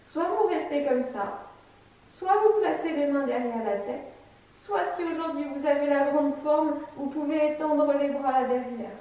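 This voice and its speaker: Woman in her thirties, fitness instructor, calm step-by-step cues, high voice, big echoey room. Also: measured pace. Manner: calm, step-by-step cueing while demonstrating. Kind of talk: guided chair exercise class. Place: bright empty room with wooden floor. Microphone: camera mic from a distance. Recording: echoey room, voice far from mic.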